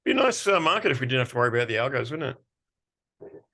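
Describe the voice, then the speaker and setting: A man's voice: medium pitch, sing-song, quick pace, bright, forceful tone. Male interview host, mid-range voice, informal and conversational, blunt with a wry streak. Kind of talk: casual interview chat.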